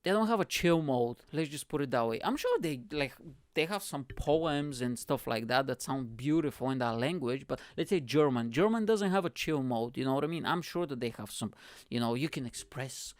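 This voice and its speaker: A man, hosting a podcast and telling casual stories in an animated way, laughing often and acting out the people he describes. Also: mid-range voice, normal speed, dry recording, clean studio sound. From Bulgaria. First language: Bulgarian. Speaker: podcast host. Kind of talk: casual storytelling monologue.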